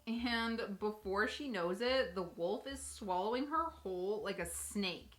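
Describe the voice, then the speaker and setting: A woman's voice: medium pitch, animated, a little slow, fairly bright tone. A woman hosting a casual, comedic fairy-tale retelling, chatting to viewers with wry asides. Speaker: host, storyteller. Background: faint music.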